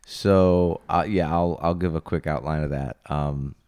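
A man with laryngitis, hoarse and low, chatting casually as a podcast co-host. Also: measured pace, no room sound. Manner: relaxed, chatty, reads sponsor ad casually.

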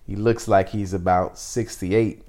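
A man in his thirties hosting a reflective interview, speaking in an earnest, deliberate way. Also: deep voice, fairly even intonation, darkish tone. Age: 30s. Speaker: podcast host.

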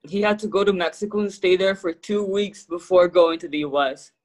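A young university student from Brazil takes part in an interview. His voice is high for a man, and he keeps his answers short and easygoing. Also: steady tone, a little fast, darkish tone. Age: young adult. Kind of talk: casual interview conversation.

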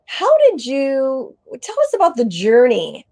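A woman's voice: high-pitched, wildly swooping pitch, unhurried, warm tone. A woman hosting an interview podcast, speaking in an enthusiastic, effusive way. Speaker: podcast host.

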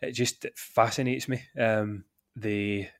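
A man's voice: fairly low pitch, slow pace, no echo, studio quality. Glaswegian man chatting as podcast guest. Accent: Scottish, Glaswegian. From Scotland. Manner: relaxed, chatty, laughs often, says "aye". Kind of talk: casual chat.

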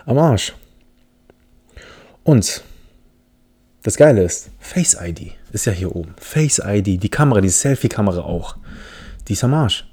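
A man's voice: medium pitch, mellow, slightly rough. A man from the Philippines hosting a casual solo podcast, telling a story in a rambling, self-mocking way with many restarts. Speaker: podcast host.

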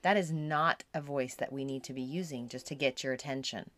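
A woman's voice: a bass voice, brisk, a bit bright. A mother co-hosting a podcast, chatting in an agreeable, affirming way. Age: middle-aged mother. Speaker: podcast co-host. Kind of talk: casual podcast chat.

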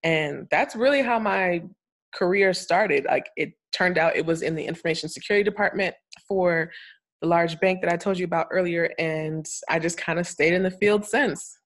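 Brief: young woman guest, slightly low pitch, easy chatty delivery, laughs between phrases. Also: moderate pace. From Florida. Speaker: guest, cybersecurity consultant. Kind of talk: casual interview talk.

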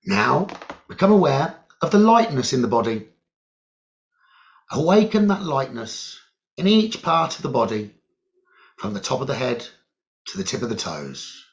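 A man with a mid-pitched voice leads a guided meditation very slowly. He gives calm, deliberate instructions in short phrases and leaves long pauses between them. The recording sounds a little dull and lo-fi. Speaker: meditation teacher.

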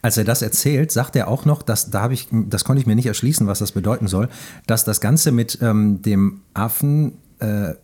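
A man's voice: fairly low pitch, moderate pace, mellow, breathy edge. A man in a casual film podcast discussion, phrasing his points thoughtfully and with hesitation.

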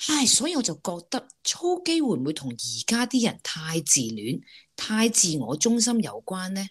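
Lively, teasing Hong Kong co-host. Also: female voice, medium pitch, normal speed, slightly rough. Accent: Hong Kong Cantonese. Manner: musing, teasing. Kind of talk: casual chat.

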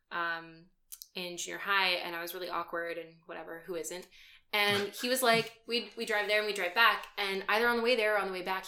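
Bright-voiced young woman chatting casually. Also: medium pitch. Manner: chatty storyteller, many fillers and laughs. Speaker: podcast co-host. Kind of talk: casual chat.

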